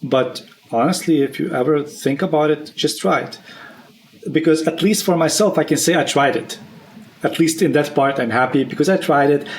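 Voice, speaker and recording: A man's voice: fairly high pitch, normal speed. Enthusiastic male podcast host. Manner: encouraging, enthusiastic. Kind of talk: casual chat.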